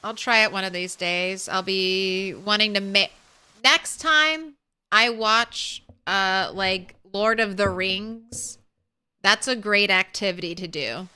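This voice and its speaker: A woman streamer chatting softly and casually with her viewers while playing a game, thanking them as she goes. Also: mid-range voice, takes it slowly, clear, resonant tone. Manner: soft, relaxed, thanks viewers. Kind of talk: casual live-stream chat while gaming.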